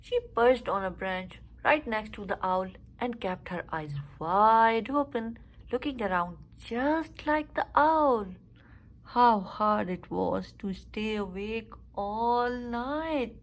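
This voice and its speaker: A woman reading a children's story aloud with expressive, deliberate phrasing. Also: a little high, poor audio.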